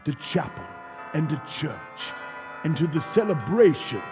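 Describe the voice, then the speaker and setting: A man's voice: a little high, sing-song, measured pace. An actor playing a grand storybook narrator in a stage radio play, savouring his words in lilting, theatrical narration. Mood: festive, relishing. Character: grand, relishing storybook narrator of Christmas tale. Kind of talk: scripted dramatic narration. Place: theatre stage before live audience. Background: plucked guitar music.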